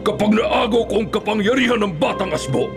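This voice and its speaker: A man's voice: high-pitched, animated, brisk. A man playing a ruthless, power-hungry monster king in a radio horror drama, imperious and commanding. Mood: commanding rage. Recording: dramatic music underneath.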